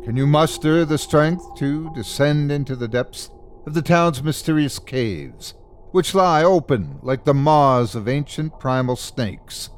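A man hosting a horror podcast, reading a scripted introduction with theatrical, deliberate emphasis. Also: on the high side, a little hiss or hum. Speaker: podcast host.

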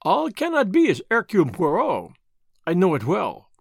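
A man's voice: high-pitched, huge pitch swings, normal speed, gravelly, husky, no echo, clean studio sound, heavily acted. A man voicing a fussy, vain Belgian detective with a French accent, pompous and precise in his speech. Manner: pompous, precise, self-satisfied. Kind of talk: audiobook character voice.

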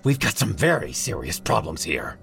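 A tense young man acted by a male narrator takes charge in a snappish, urgent voice. His pitch is pushed up and swings widely, as in a dramatic audiobook. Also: sing-song, normal speed, gravelly, a bit bright, soft background music, full character voice. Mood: snapping, commanding.

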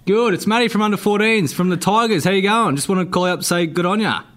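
A man's voice: sits high, quick pace, fairly bright tone. An Australian man playing a chummy, gushing old junior football teammate, matey and nosy on a prank phone call.

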